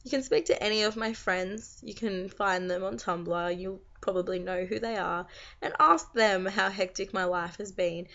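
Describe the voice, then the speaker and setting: A young Australian woman with a croaky voice from being sick, chatting to camera in a tired, rambling, venting way. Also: mid-range voice, steady tone, normal speed, a bit bright. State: croaky from a long cold. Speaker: vlogger. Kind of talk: casual chat to camera. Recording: slightly dull lo-fi recording.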